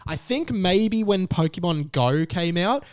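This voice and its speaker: A man in his thirties hosting a podcast, giving casual solo commentary in a chatty, animated storytelling way. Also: high-pitched, measured pace. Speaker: podcast host.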